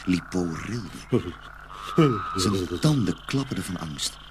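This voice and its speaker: A man narrating a dark fairy tale in a dramatic storytelling style. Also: low voice, lively intonation, takes it slowly, background noise.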